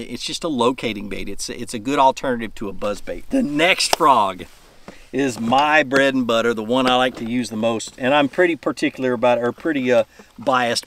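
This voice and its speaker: A man in his forties walks through fishing tackle in a relaxed, rambling way. He talks outdoors on a boat into a close camera mic. He stumbles and repeats words as he goes. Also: mid-range voice. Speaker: fishing channel host. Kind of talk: casual tackle tutorial.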